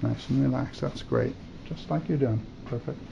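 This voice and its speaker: A man's voice: medium pitch, normal speed, vocal fry. An older man working as a doctor, giving short exam instructions and brief encouragement to a patient in a hospital room. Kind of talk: clinical exam instructions, short commands and praise. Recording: dull, lightly noisy room recording.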